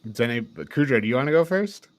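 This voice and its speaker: A man's voice: mid-range voice, animated, fast talker, no room sound. A man co-hosting a casual film review chat, informal and given to swearing and laughing. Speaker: podcast co-host.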